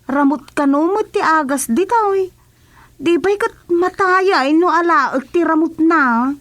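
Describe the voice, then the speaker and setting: A woman's voice: extremely high pitch, a bit bright, full character voice. A woman playing a naive, polite and puzzled patient in a radio comedy drama, whining and hesitating. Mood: polite, hesitant. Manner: hesitant, drawn-out interjections.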